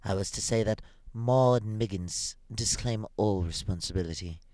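Male official voiced by a narrator, subdued, apologetic, measured, lowish pitch, dry sound, storybook tale. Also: darkish tone, no room sound, close to an everyday voice. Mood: formal, reluctant. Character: apologetic, formal company messenger, reluctant and subdued. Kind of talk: storybook character reading.

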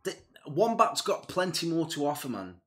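A man's voice: fairly high pitch, big pitch swings, normal speed. A young man hosting a music reaction video, chatting casually and enthusiastically, turning earnest on serious points, speaking into a headset in a small room. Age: young adult. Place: small indoor room with gaming chair and purple lights.

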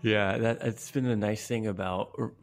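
Man, podcast host, lowish voice, relaxed, faint music behind. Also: moderate pace. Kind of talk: podcast interview and intro.

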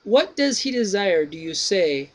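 A man reads out Socrates, an older philosopher who questions a younger man with slow patience. Each question is weighed out carefully. The voice sits high for a man and drags out its phrases. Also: sing-song. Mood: probing.

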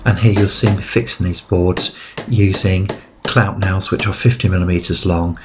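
A man with a very low voice hosts a DIY how-to video. He explains things step by step in a conversational way, talking straight to the viewer. There is some faint noise and clicking in the recording. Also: fairly even intonation, normal speed. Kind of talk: informal how-to narration.

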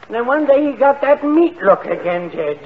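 A man's voice: very high-pitched, sing-song, normal speed, narrow, tinny audio. A man acting a hapless, folksy backwoods defendant with a rural American accent in an old radio comedy. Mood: earnest, aggrieved. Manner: folksy, plain-spoken storytelling. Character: hapless backwoods man pleading his case to a judge. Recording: band-limited 1940 radio broadcast.

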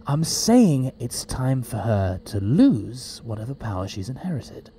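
A man playing a quick-witted, eccentric time traveller in an audio drama, wry and explanatory. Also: medium pitch, big pitch swings, dark tone, a little hiss or hum. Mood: determined.

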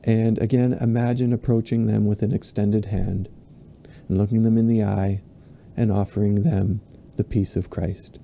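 Minister, man, 40s, measured liturgical delivery, very mellow tone, home webcam. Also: on the low side, level tone, creaky, a little hiss or hum. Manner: slow, measured liturgical reading. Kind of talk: leading online worship. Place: home office with bookshelves. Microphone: webcam at desk, video call framing.

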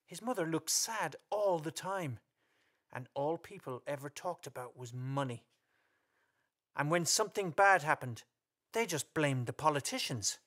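A man's voice: a little high, expressive, a little slow, a bit bright. A man narrating a story in a scripted radio play.

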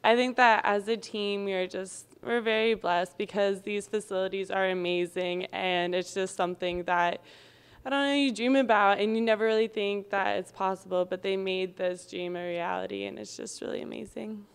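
A young woman whose smooth voice carries a gravelly, creaky edge. She speaks earnestly into a handheld mic. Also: mid-range voice, steady tone, normal speed. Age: 20s. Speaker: college softball player.

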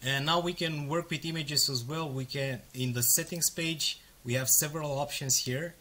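A man in his thirties, a native Romanian speaker, casually walking through a software tutorial step by step with frequent ums and uhs. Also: fairly high pitch, fairly even intonation, normal speed. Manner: casual, explains step by step with fillers. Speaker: software demo presenter. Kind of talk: screen-recorded tutorial walkthrough.

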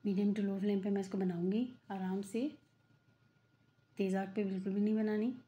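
A woman's voice: mid-range voice, little pitch movement, moderate pace, warm tone, dull audio. A middle-aged woman, giving a chatty, filler-heavy voice-over for her home cooking video. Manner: chatty, repetitive, many fillers. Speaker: cooking channel host. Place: home kitchen.

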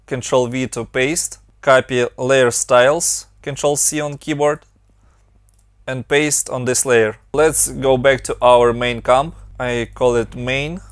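A man's voice: medium pitch, steady tone, clean tone, no echo. Ukrainian-accented man, dry close voice. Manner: brisk, step-by-step instructions. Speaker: motion design tutorial host. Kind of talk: software tutorial narration.

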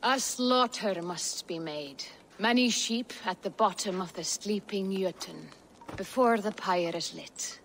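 An actress playing a cryptic Norse seeress in a video game, speaking in a solemn, oracular, ominous way. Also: female voice, medium pitch, unhurried, a bit bright, slight background noise. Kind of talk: dramatic video game acting.

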